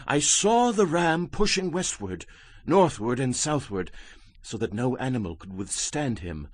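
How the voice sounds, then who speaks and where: Husky, dramatic prophet recounting visions. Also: male voice, medium pitch, no room sound. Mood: awed, narrating. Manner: solemn, dramatic, emphatic. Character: the prophet Daniel, man recounting awe-filled visions. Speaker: Bible narrator. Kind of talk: dramatized scripture reading.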